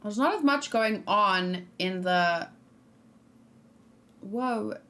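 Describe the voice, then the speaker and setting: Young female streamer, casual reaction chat, talks things through aloud, mid-pitched. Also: expressive, extremely slow, pushed and bright, a little hiss or hum. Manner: chatty, thinks aloud, indecisive, reads chat messages. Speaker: streamer reacting to game trailers.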